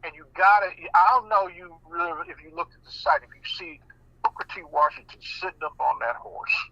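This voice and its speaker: A man talking on a radio show in an animated, folksy way, often repeating words as he goes. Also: high-pitched, brisk, gravelly, no echo, lo-fi sound. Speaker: guest speaker.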